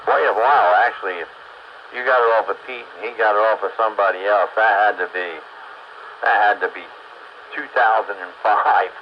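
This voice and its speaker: A dull radio transmission with faint static carries a man's lowish voice. He speaks casually, like a hobbyist chatting on the air. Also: brisk. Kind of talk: casual chat over two-way radio.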